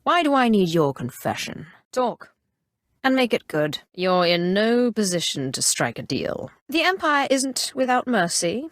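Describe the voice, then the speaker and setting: A woman playing an imperious, calculating Sith sorcerer in a video game, with a measured, haughty and coolly threatening delivery. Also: medium pitch, sing-song, no room sound, clean studio sound. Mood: menacing. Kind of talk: scripted game character dialogue.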